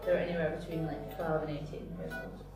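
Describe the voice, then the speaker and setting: A woman's voice: low-pitched, little pitch movement, quick pace, over music. A woman of nineteen chatting in interview answers, full of "like". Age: late teens. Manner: chatty, lots of "like". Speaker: returned volunteer. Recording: echoey room from far off.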